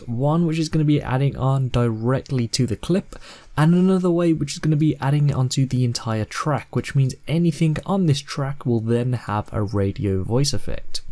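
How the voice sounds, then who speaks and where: A man in his twenties narrating a software tutorial in plain, step-by-step instructions. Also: medium pitch. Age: 20s. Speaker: host of video editing tutorials.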